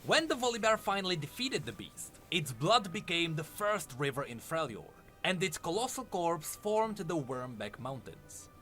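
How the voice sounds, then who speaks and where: A male narrator delivers scripted fantasy lore in storytelling fashion. His voice sits a little high and moves in a very animated way, with light music behind it. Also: normal speed, few pauses. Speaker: narrator of a game lore video. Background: background music under the voice.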